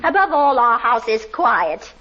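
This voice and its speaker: A woman's voice: a little high, very animated, unhurried, sharp tone, full character voice. A woman playing an anxious, fussy, deferential landlady in a 1940s radio drama, flustered and eager to please. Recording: muffled, noisy 1940s radio recording.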